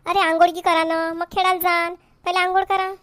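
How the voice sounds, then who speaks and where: Woman acting cartoon mother, high-pitched, Varhadi Marathi accent, homely, fussing, caring, dry sound. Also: flat delivery, a little fast, a bit bright, no room sound. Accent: Varhadi Marathi from Vidarbha. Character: Indian mother, fussing over her children, caring. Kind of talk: homemade comedy cartoon dialogue.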